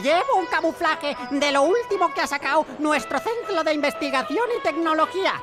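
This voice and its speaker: A woman's voice: sits high, animated, brisk. A woman voicing a feisty, emphatic young female soldier in a comedy dub, with a Spain Spanish accent. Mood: proud. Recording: music behind the voice.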